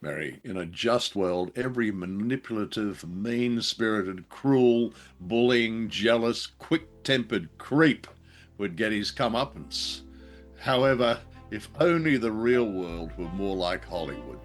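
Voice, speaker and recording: A man's voice: lowish voice, lively intonation, unhurried, soft background music. A man co-hosting a radio show, commenting on old drama with wry, theatrical phrasing.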